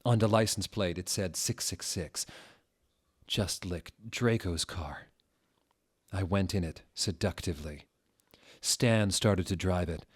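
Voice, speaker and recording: A man narrating a story as a comic dramatic reading, with a theatrical, deliberate, mock-serious delivery. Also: sits low, slightly rough.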